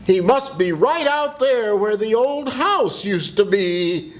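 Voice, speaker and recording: An older man playing a hot-tempered, agitated husband in a radio play reading, stammering and excitable. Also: high-pitched, slow, a little hiss or hum. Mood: accusing. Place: senior center event room, live reading.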